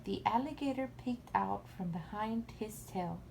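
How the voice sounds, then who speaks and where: Slow, mellow woman reading a bedtime story. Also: medium pitch, vocal fry, slight background noise, poor audio. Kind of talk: storybook narration for a young child.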